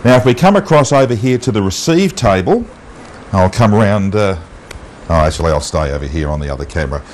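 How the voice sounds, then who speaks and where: An Australian man presenting an unscripted amateur TV walk-through, halting often with ums and uhs. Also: low voice, animated, a little mellow. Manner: halting, with long um and uh pauses. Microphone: wireless mic pack. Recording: noisy amateur TV transmission audio.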